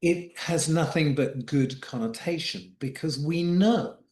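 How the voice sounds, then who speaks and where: A man's voice: a little high, measured pace, a little mellow, reverberant. A man, an executive coach and guest, speaking reflectively in a podcast interview and often repeating words as he thinks aloud.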